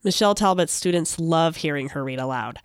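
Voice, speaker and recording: A woman's voice: sits low, fairly quick, smooth, no room sound. Woman radio host with dry, close sound. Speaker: podcast host, radio reporter. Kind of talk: radio narration and conversational interviewing.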